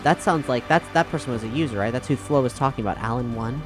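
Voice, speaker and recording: A man's voice: mid-range voice, fast talker. A man playing a tabletop game, talking casually and hesitantly as he thinks aloud. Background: faint music.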